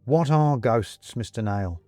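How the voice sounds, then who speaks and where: A man voicing a jovial, persuasive ghost gentleman in an audiobook, with a British accent and clipped, chummy, old-fashioned upper-class phrasing. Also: mid-range voice, expressive, takes it slowly, dry recording, soft background music. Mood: solemn, lecturing. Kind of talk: audiobook character acting.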